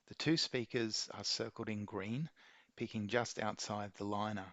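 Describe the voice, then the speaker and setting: A man reading a scripted how-to narration in a measured, methodical way. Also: fairly low pitch, steady tone, a bit bright, no room sound, highs cut off. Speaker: video maker giving an installation tutorial.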